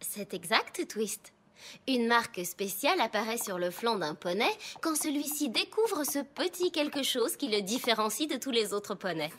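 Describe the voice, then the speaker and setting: Cheerful, swooping cartoon pony schoolteacher. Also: female voice, a little high, big pitch swings, moderate pace, fairly bright tone, slight background noise. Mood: bright, instructive. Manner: clear teacherly explaining. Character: cheerful female pony schoolteacher, gentle but firm with pupils. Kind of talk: animated cartoon dub. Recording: music behind the voice.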